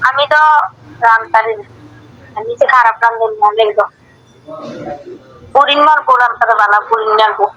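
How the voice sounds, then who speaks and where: A woman with a high, bright voice chats casually over a phone video call. She is talkative and lays out her plans in long runs of speech. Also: normal speed, pauses often, dull audio. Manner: chatty, explains plans at length. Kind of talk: casual chat.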